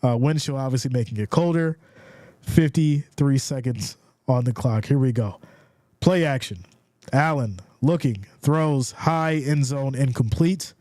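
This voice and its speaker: A congested man calls football plays on a live stream, switching between play-by-play and easy chat with his viewers. His voice is warm and mellow, slightly stuffed up. The sound is dry and close, with no room around it. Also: mid-range voice, unhurried, no echo. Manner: casual, reads viewer comments aloud; builds excitement calling plays. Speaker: live stream sports commentator.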